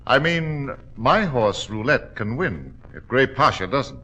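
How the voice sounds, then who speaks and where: An actor playing a suave, scheming English gentleman gambler in a 1940s radio drama, with an insinuating manner. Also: medium pitch, big pitch swings, slow pace, highs cut off, heavily acted.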